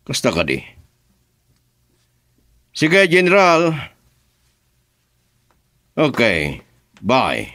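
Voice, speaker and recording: Male actor, scheming boss, slow mocking delivery, lively swooping pitch, radio drama. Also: heavily acted. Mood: probing. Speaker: boss on a phone call.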